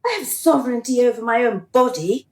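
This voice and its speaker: Woman acting an earnest, high-voiced unhappy dead soul. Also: expressive, fairly quick, a little mellow. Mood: plaintive, self-righteous. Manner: plaintive, earnest, eager to please. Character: earnest, dutiful, unhappy dead woman pleading her case. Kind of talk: radio play acting.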